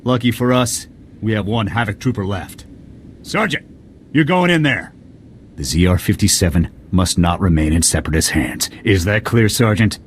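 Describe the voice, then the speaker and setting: An actor playing a stern, gruff middle-aged military commander, briefing with clipped authority in a video game cutscene. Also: male voice, lowish voice, lively intonation, moderate pace, slight background noise, lightly acted. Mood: stern, urgent.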